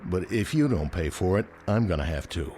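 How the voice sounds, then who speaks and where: An actor playing a wisecracking, uneasy American bartender in a radio drama, with folksy, placating chatter. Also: male voice, sits low, expressive, fairly quick, mellow, a little hiss or hum. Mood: nervous, placating. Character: wisecracking, uneasy big-city bartender trying to placate danger. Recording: music bed behind.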